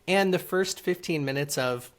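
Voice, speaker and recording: A man's voice: fairly high pitch, moderate pace. A man speaking as an interview guest, answering conversationally and explaining things step by step. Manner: explains step by step with numbers and examples.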